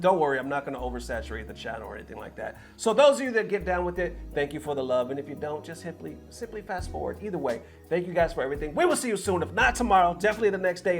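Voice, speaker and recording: A man from California's Bay Area with a fairly high voice hosts a casual gaming vlog. He talks in an animated, slangy, conversational way and swears freely. Music plays behind him. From San Francisco Bay Area, California. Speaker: YouTube gaming news host. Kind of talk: casual opinionated vlog talk to viewers. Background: background music.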